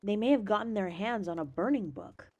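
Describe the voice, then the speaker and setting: Eine Frau als ruhige Ratsherrin. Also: female voice, mid-range voice, animated, warm tone, natural voice. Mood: measured. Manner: measured, matter-of-fact.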